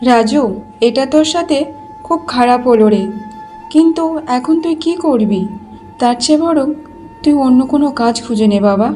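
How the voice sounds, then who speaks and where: A woman's voice: high voice, normal speed, pauses often, warm tone, faint music behind, close to an everyday voice. A woman playing a caring, worried mother of a grown son in a cartoon story. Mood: worried, advising. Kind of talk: cartoon dialogue.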